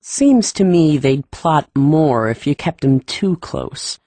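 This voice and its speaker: A woman's voice: sits low, lively intonation, slow, dark tone, no echo, heavily acted. A woman voicing a blunt, plain-spoken young male gardener who talks in clipped, folksy words, reading a storybook.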